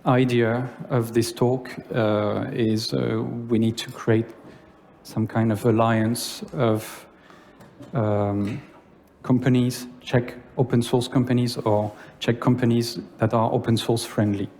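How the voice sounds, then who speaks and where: Slow-talking Frenchman in a hall. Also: mid-range voice, little pitch movement, a little mellow, a little hiss or hum. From France. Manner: hesitant, many filler sounds. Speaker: conference speaker. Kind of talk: conference talk. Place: lecture hall. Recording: long reverb of a hall.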